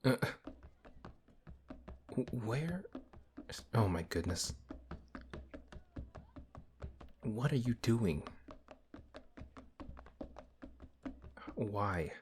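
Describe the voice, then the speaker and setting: Fantasy audio drama: male actor as exasperated good-hearted paladin, big and husky, deep register, unhurried delivery. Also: animated, a little mellow, faint music behind. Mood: exasperated, patient. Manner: flustered, questioning, sighing. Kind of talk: audio roleplay, fantasy acting.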